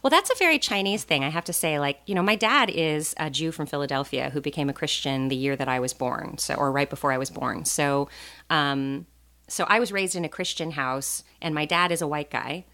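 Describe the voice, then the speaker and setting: An American woman telling stories casually as a podcast guest, animated and joking, with plenty of "like" in her talk. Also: low voice, fairly quick. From born in Taiwan, raised in a US Navy family. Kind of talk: casual conversational storytelling.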